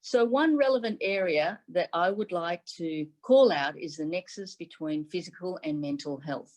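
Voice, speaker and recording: Low-voiced woman giving a formal address. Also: a little mellow. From Taiwan. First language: Mandarin Chinese. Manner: measured, formal delivery in short phrases. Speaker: chief medical advisor giving a symposium address. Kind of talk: prepared speech. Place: home room, indoors. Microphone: video call webcam.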